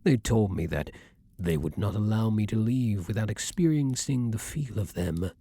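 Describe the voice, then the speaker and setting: A man playing a centuries-old vampire king, haughty yet secretly romantic, speaking in formal, archaic phrasing with dramatic pauses in an intimate ASMR roleplay. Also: lowish voice, expressive, vocal fry, mellow, slightly rough, no room sound, studio quality, heavily acted. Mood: wistful, entranced.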